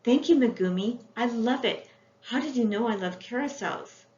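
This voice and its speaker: A woman voicing an excited, affectionate young girl in a gushing children's storybook reading. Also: fairly high pitch, normal speed, voice pushed up, natural voice. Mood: delighted, grateful. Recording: echoey room, dull sound, faint noise.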